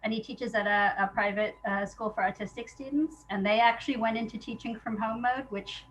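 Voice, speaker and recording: A woman's voice: on the high side, steady tone, brisk, fairly bright tone, poor audio. A woman speaking as an interview guest in a casual, reflective way, candid and hesitant, often restarting her thoughts. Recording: noticeable background noise.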